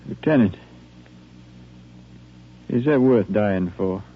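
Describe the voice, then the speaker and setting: Low-voiced, folksy army private. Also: male voice, expressive, slow pace. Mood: hesitant, humble. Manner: folksy, hesitant, plain rural phrasing. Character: plainspoken, doubting, humble young army soldier. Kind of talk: 1950s radio drama acting. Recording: narrow, hissy old radio recording with hum.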